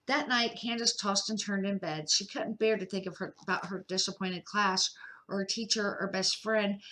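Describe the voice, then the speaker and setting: Bright-voiced older woman reading a storybook. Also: medium pitch, rough recording. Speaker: grandmother reading a children's picture book aloud. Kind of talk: amateur children's storybook read-aloud.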